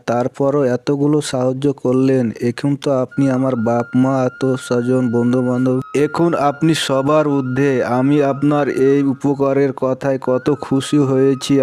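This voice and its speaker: A man's voice: mid-range voice, mellow, lightly acted. A man playing a troubled, humble village father in a cartoon moral story, earnest and plaintive. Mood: grateful.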